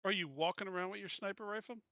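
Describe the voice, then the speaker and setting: A man's voice: sits high, quick pace, sharp tone, dry recording, muffled recording. A man playing a tabletop game, chatting casually and narrating what his character does.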